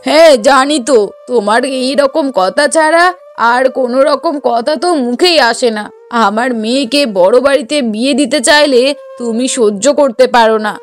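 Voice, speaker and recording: High-pitched bossy, scheming cartoon mother acted by a woman. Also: normal speed, natural voice. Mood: bossy, indignant. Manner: bossy, scolding, argumentative. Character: scheming, sharp-tongued middle-aged mother and bossy wife. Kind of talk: Bengali moral-story cartoon dubbing.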